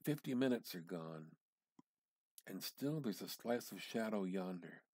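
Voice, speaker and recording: A man giving an amateur dramatic reading of literary fiction, theatrical and halting, with pauses and emphatic repetition. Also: sits low, expressive, a little mellow, slightly rough, no echo. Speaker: amateur reader.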